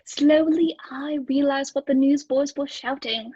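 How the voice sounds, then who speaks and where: A high-voiced, fretful landlady acted by a woman tells a dark tale in radio-play style. Her delivery is hesitant and breathless, and the recording is dry and somewhat dull. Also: steady tone, a little slow, no room sound. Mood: anxious, hushed suspense. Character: anxious, fretful landlady narrating a dark mystery. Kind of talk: old radio play acting.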